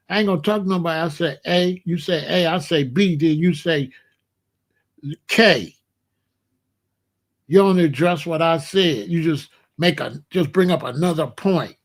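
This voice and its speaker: Blunt confrontational man ranting to his chat. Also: fairly high pitch. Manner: blunt, repetitive, confrontational, calls out a chatter. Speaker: host of a live commentary stream. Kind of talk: unscripted live-stream commentary, talking to chat.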